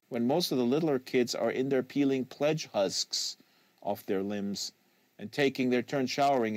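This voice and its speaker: A man's voice: medium pitch, a little mellow, no echo. A man narrates a literary novel in a mid-range voice. He is close to a studio microphone, and the sound is dry, with very little room in it. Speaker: audiobook reader and host. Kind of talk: live literary novel reading, scripted narration. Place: small acoustically treated home studio.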